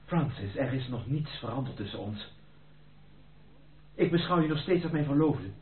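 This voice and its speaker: An actor playing a solemn gentleman suitor who sees himself as a faithful fiancé, in a Dutch radio play. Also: medium pitch, expressive, moderate pace, slight background noise, natural voice. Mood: solemn, pleading. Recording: distant, echoey, humming, narrow-band old recording.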